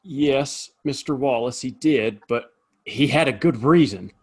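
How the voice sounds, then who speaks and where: A man's voice: mid-range voice, a little slow, breathy edge. Man playing earnest, hesitant Western bartender. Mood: earnest protest. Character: decent, apologetic saloon bartender in Old West. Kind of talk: Western audio drama acting.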